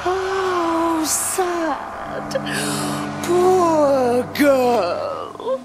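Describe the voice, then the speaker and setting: A woman playing a monstrous, seductive villainess in a video game cutscene, speaking in theatrical, drawn-out phrases. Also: extremely high pitch, lively intonation, full character voice. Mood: gloating, mocking. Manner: mocking, theatrical, drawn-out taunts. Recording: loud cinematic music behind.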